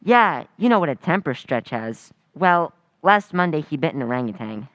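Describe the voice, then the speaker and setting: An eager teenage boy, as acted by a woman from an old-time radio comedy. The voice sits fairly low and chatters with earnest, florid politeness. The recording is close and dry. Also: sing-song, normal speed, no room sound, dull audio. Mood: chatty, earnest. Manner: earnest, gushing, boyish.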